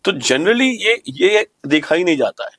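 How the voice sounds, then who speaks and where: A man's voice: high voice, very animated, measured pace, a bit bright. A man with an Indian accent hosting an opinionated podcast discussion, speaking emphatically with rhetorical questions and slipping into English. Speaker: podcast host.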